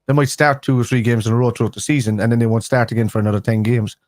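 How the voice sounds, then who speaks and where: A man's voice: mid-range voice, steady tone, very fast, darkish tone, no echo. A man with a Liverpool accent, co-hosting a football fan live stream, talking casually and rambling with restarts a day after having a tooth pulled. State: speaking after a tooth extraction.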